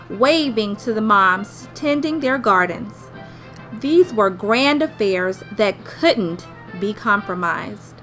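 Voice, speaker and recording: A woman's voice: fairly high pitch, a bit bright, rough recording. Narrator, female: an actress as a nostalgic, wryly dramatic woman telling a radio play memoir, light music underneath. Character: grown woman fondly recalling her 1950s Midwestern childhood.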